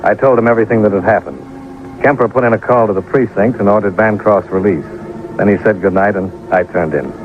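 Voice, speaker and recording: A man's voice: on the low side, brisk, close to an everyday voice. An actor playing a businesslike investigator, narrating his case in a clipped, matter-of-fact way for an old radio detective drama. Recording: muffled vintage radio recording, music behind.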